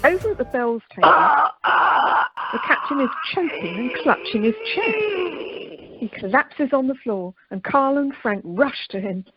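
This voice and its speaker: A woman's voice: sits high, animated, unhurried, dull audio, music in the background. A woman narrating a radio play from a script.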